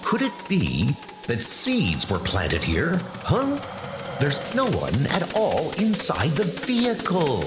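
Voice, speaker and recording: A man's voice: on the high side, wildly swooping pitch, slow, noisy background, full character voice. A man playing a wounded knight who gasps out an alarmed survivor's account in a breathless comic-book audio drama style. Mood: startled. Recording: music under the voice.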